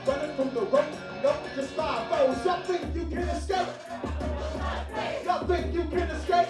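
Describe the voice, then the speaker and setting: A live hip-hop performer rapping and singing shouted call-and-response hooks on stage. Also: high-pitched, a little slow, over a noisy crowd. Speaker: singer and rapper performing live. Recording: echoey live stage, distant, loud music.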